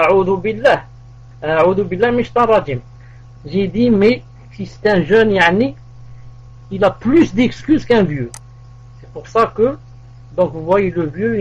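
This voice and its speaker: Husky high-voiced man teaching religion. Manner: explanatory, with religious phrases. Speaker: Islamic teacher. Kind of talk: religious lesson answering a question. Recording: dull lo-fi recording.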